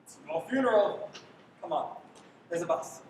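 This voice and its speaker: A woman playing a gallant, plucky gentleman hero in a radio play, with a wry and projected delivery. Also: on the low side, normal speed, background noise, close to an everyday voice. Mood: wry, calm. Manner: projected, animated. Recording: echoey, distant live stage pickup.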